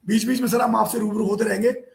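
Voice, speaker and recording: Hoarse guy hosting a live stream, rough croaky voice, keeps saying sorry about his throat. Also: sits high, a little mellow. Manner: apologetic, repeatedly chasing guests about camera problems. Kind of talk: hosting a live online poetry meet. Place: remote spot with poor network.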